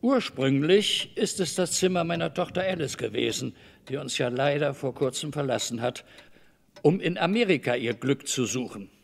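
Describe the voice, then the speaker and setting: A man's voice: mid-range voice, animated, moderate pace, vocal fry. A man playing an evasive, overly cordial middle-aged master of a house in a German radio drama, wordy, hesitant and patronizing. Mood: affable, wordy.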